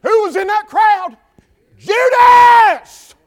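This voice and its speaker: High-voiced Southern preacher, fervent. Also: male voice, drawn-out words. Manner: fervent preaching, builds to shouts then drops quiet. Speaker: pastor. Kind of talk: sermon. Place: church.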